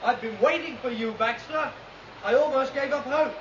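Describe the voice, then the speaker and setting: An actor playing a confident, idealistic rebel leader, explaining emphatically in a 1970s sci-fi radio drama. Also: male voice, very high voice, normal speed, a bit bright. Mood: welcoming, hopeful. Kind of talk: 1970s science fiction radio drama acting. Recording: dull vintage radio recording, faint noise, water.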